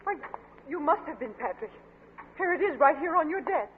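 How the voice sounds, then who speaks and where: A woman's voice: sits high, animated, moderate pace. A worried, earnest woman in an old radio thriller. Her timid, urgent voice moves up and down a lot. The recording is narrow and tinny, with faint noise. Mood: concerned. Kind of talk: 1940s radio suspense acting.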